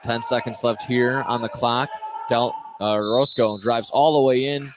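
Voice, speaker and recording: A man doing play-by-play radio commentary of a high school basketball game. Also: fairly even intonation, moderate pace. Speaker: play-by-play announcer. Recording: noisy live gym broadcast.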